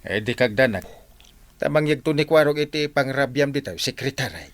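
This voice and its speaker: A man's voice: medium pitch, expressive, a little fast, close to an everyday voice. A man with an Ilocano accent playing a flustered, defensive village captain and husband in a comedy radio drama. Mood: coaxing, businesslike.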